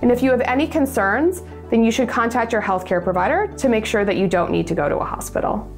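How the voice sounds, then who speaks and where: A woman physician calmly explaining illness symptoms in a measured, informative way. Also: on the high side. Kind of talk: explanatory clip on medical symptoms. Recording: inserted clip with faint music.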